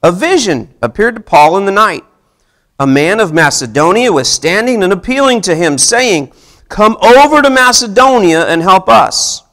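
Man in his thirties, pastor, high voice, lively swooping delivery, conversational sermon, asks the congregation questions. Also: normal speed. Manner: animated, conversational preaching with audience questions. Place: church hall with seated congregation. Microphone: handheld mic, far camera.

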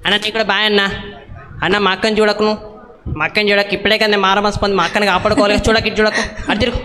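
Whiny, high-pitched little boy puppet. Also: level tone, racing, bright, forceful tone. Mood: cheeky, bossy. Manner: pleading, wheedling, cheeky. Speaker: puppeteer in a children's Bible school show. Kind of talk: live children's puppet show. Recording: hall reverb with music behind.

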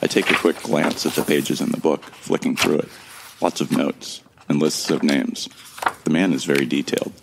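A man's voice: a bass voice, steady tone, normal speed, vocal fry, noisy background. A man narrating an audio drama in the first person, earnest and bewildered. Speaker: narrator and lead actor.

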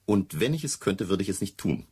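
Very low male voice, swooping intonation, indignant gentleman, defensive, radio drama. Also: very animated, fairly quick, full character voice. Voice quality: deep, gravelly. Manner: emphatic, defensive. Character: touchy, indignant gentleman friend of a missing singer. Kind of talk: German radio play acting.

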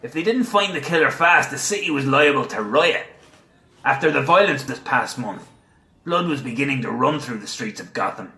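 A man performs a gruff nineteenth-century police captain for a noir audio drama. He is cynical and sardonic, with deliberate phrasing and a mid-pitched voice. The sound is noticeably roomy and echoing. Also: animated, bright, forceful tone. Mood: brooding, cynical. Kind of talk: audio drama, reading aloud and noir narration.

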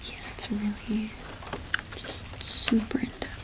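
A young woman speaking in a soft, breathy whisper close to the microphone, in a gentle, halting ASMR roleplay as a cottage witch shopkeeper. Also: mid-range voice, monotone, low-quality recording. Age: young adult.

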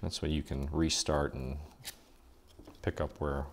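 A man hosting a casual step-by-step paper craft tutorial, chatty and relaxed, thinking aloud with fillers as he works. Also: very deep voice, measured pace, a little hiss or hum.

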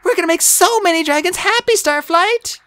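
A woman's voice: very high voice, animated, fairly quick, a bit bright, dry recording, pitched above the performer's own voice. A woman voicing a cheerful, dreamy young female dragon from a storybook, eager and earnest. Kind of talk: storybook character voice.

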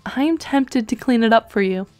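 A woman voicing a dry, precocious teenage girl in a web comic dub, speaking in a measured, formal, deadpan way. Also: a little high, soft background music, natural voice. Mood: dry, needling.

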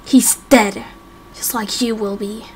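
Woman playing a spiteful, high-voiced villain boss. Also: fairly even intonation, slow pace, a little mellow, a little hiss or hum. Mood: spiteful, defiant. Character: defiant, hateful captive villain boss. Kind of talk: amateur game roleplay acting.

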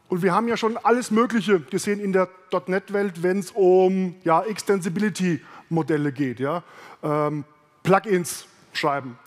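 A middle-aged man giving a technical keynote on a conference stage, talking in a loose conversational way with many fillers and restarts. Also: sits high. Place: large conference hall on stage. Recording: reverberant large hall.

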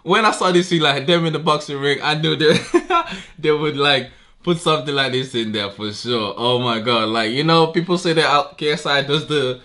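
A man's voice: fairly high pitch, normal speed, bright, forceful tone. A young man in his twenties giving casual reaction commentary from his bedroom, talking loosely with slang, bursting into laughs and exclamations. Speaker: music video reactor. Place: bedroom with wardrobe, indoors. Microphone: webcam with headphones on. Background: music video playing.

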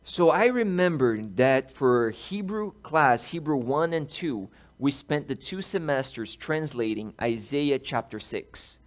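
A man's voice: mid-range voice, a little slow, few pauses. A man in his thirties from Brazil, a pastor telling a personal story in a sermon in a large church, speaking through a headset mic. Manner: animated, gestures while speaking. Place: large church sanctuary, indoors.

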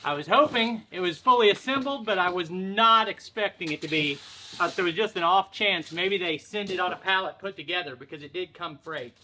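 A man's voice: sits high, a little fast, pushed and bright, slight background noise, rough recording. A man in his thirties casually explaining a farm tool project outdoors, step by step, in a chatty vlog style. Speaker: farm vlogger. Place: outdoors at a farm, by a garage and a pond.

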